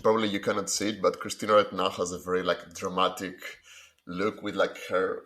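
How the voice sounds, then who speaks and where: A man with a fairly low voice co-hosting a podcast interview. He talks casually, wandering into jokey asides. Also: level tone, brisk, fairly bright tone. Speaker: podcast co-host.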